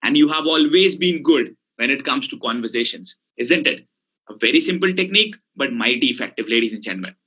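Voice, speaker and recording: A man's voice: a little high, sharp tone, poor audio. A man with an Indian English accent giving a motivational lecture as a communication coach, emphatic and speaking straight to his listeners with frequent questions.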